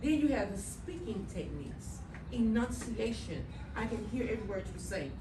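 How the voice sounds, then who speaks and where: A woman's voice: on the high side, big pitch swings, moderate pace, noisy background. A woman teaching a middle school class, emphatic and checking in with students often. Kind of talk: classroom instruction. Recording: echoey classroom, mic far off.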